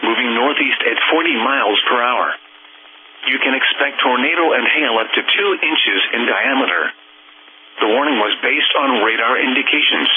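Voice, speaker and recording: A man's voice: mid-range voice, slight background noise. Tinny synthetic male weather radio announcer. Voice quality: synthetic text-to-speech voice. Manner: formal, measured, impersonal delivery. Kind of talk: automated emergency weather alert broadcast.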